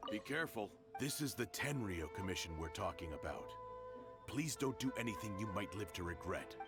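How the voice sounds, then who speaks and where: A man's voice: fairly low pitch, animated, normal speed, natural voice. An actor playing an earnest, cautious townsman in a video game. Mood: concerned. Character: earnest, cautious male townsman warning a friend. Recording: loud background music.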